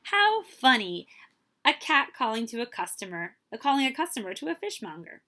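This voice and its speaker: A woman's voice: fairly high pitch, big pitch swings, moderate pace, bright, forceful tone, voice pushed up. A woman voicing an elegant, amused young lady in a storybook, light and exclaiming. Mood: amused, delighted.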